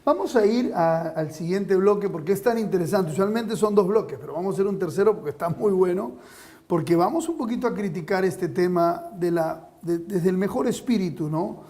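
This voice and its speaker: A man's voice: sits high, lively intonation, a little fast, mellow. A man hosting a talk show, chatting casually and often tagging his points with questions. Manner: questioning, asks rhetorical tag questions.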